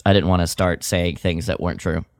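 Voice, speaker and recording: A man co-hosting a podcast, chatting casually in a hesitant, halting way. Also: sits low, fairly even intonation, gravelly, no room sound, studio quality. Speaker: podcast co-host. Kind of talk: casual chat.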